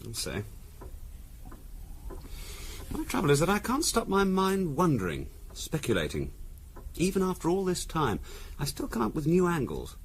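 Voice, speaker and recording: An actor playing a calm, quietly insinuating middle-class husband in a 1970s radio drama, musing aloud in a deliberate, conversational way. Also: male voice, on the high side, breathy edge, heavily acted. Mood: musing, speculative. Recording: noisy 1970s radio recording.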